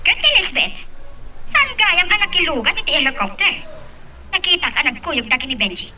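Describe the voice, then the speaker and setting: A woman acts an excitable Filipino gossip in an audio drama. The voice is extremely high and swooping, heard from afar through clear noise. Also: normal speed, full character voice. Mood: excited. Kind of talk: amateur audio drama acting. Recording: distant, noisy recording.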